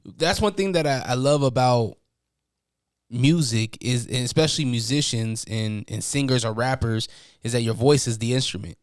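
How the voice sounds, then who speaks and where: A man's voice: mid-range voice, normal speed. Male podcast host, mid-range voice, casual and encouraging, leading questions. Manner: encouraging, asks leading questions with tag "right?". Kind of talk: casual interview chat.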